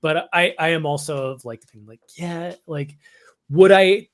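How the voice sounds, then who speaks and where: A man's voice: a little high, moderate pace. A man co-hosting a fan podcast, talking casually and explaining his views in a conversational way. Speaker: podcast co-host. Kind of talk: casual fan discussion of Star Wars.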